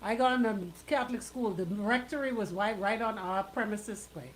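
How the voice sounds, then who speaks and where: A woman's voice: medium pitch, normal speed, a little hiss or hum, poor audio. A woman co-hosting casual radio banter, explaining things in a teasing, insistent way. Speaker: radio co-host.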